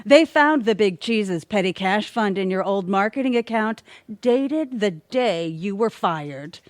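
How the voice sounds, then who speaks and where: A woman playing a haughty, sharp-tongued scheming villainess in an audio comedy, mocking and biting. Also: medium pitch, expressive, unhurried, dry recording, close to an everyday voice. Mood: sneering. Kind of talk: audio theatre comedy acting.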